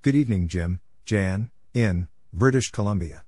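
A man narrating a practice lesson, reading call signs and short phrases in a clipped, deliberate way. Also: lowish voice, warm tone. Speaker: Morse code practice narrator.

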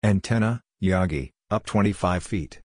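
A man reading out short scripted practice phrases with measured, deliberate enunciation, as a drill narrator. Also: deep voice, darkish tone, no echo, clean studio sound. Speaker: Morse code practice narrator.

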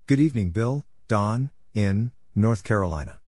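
A man reading scripted short exchanges as a practice narrator, deliberately spelling out letters and numbers one at a time. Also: fairly low pitch, animated, darkish tone, no room sound, clean studio sound. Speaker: narrator of Morse code practice.

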